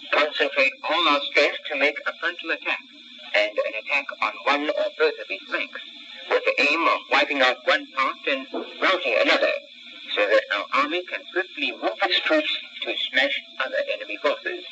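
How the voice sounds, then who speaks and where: A man's voice: a little high, big pitch swings, moderate pace. A man reading scripted propaganda news in a formal, declamatory style on a 1960s shortwave radio broadcast. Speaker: radio newsreader. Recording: muffled 1960s shortwave radio recording, faint noise.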